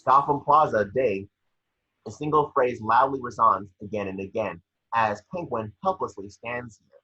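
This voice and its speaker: A man with a husky, raspy voice reading scene descriptions for a screenplay table read in a brisk, vivid storytelling way. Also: mid-range voice, sharp tone. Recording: dull lo-fi call audio.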